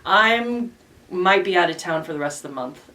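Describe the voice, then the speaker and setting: Female channel co-host, slightly distant pickup, lower-pitched voice, informal vlog talk with a playful, teasing way. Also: expressive, normal speed, pushed and bright. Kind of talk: casual vlog chat.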